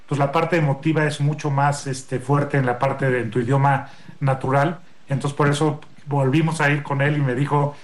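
A man, a film producer answering a radio interviewer, hesitant and full of fillers. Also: a little high. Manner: hesitant, lots of fillers and restarts.

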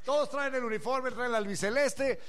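A man's voice: extremely high pitch, moderate pace, very noisy. A man on a sports panel, making dry wisecracks. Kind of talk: lively panel chat.

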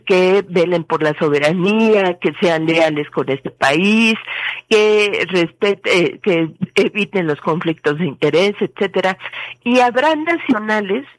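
A woman with a Mexican accent, speaking as a legal expert guest and explaining things methodically. She pauses often and fills the gaps with short fillers. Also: medium pitch, dry recording, poor audio. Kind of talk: explanatory commentary.

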